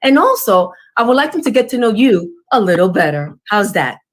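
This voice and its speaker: A woman's voice: mid-range voice, big pitch swings, fairly quick. A woman hosting an interview show, effusive and formal, with many compliments and blessings. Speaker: talk show host.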